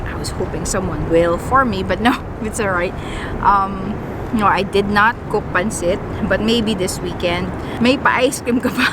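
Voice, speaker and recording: A woman from the Philippines, a Tagalog speaker, chatting casually to camera outdoors by a pier and answering friends' questions with lots of fillers. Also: mid-range voice. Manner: chatty and rambling, with many "you know" and "like" fillers. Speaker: vlogger. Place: outdoors by a city pier with traffic. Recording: loud street traffic noise outdoors.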